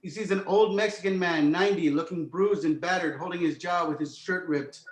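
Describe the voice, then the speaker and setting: Male narrator, screenplay stage directions, fairly high pitch, roomy echo. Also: fairly quick. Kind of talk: scripted narration, screenplay table read. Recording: echoey room.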